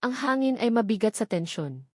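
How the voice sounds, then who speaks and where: A woman's voice: fairly high pitch, big pitch swings, moderate pace, a little mellow, husky, no echo, studio quality. A woman with a Filipino accent narrating a dramatic love story from a script.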